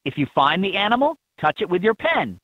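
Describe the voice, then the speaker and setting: A man reading scripted instructions for a children's learning game in an expressive, instructive manner. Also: sits high, fairly quick, no echo. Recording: thin, phone-like lo-fi game audio.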